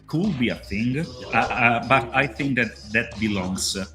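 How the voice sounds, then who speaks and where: Game designer, male, Italian accent. Medium-pitched, halting and repetitive casual explainer, with music playing behind him. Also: expressive. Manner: halting, repetitive, punctuated with "okay".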